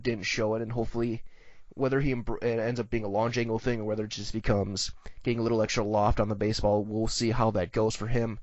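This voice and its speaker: A man's voice: medium pitch, dry recording, dull audio. A man with an American accent hosting a sports show, talking through the news in a conversational commentary style. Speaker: sports podcast host.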